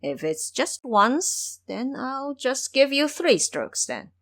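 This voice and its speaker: A stern, mocking monkey warrior, as a woman narrator plays him in an audio storybook. He scolds like a bossy elder brother, with highly animated pitch. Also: takes it slowly, full character voice. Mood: scolding. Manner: scolding, threatening.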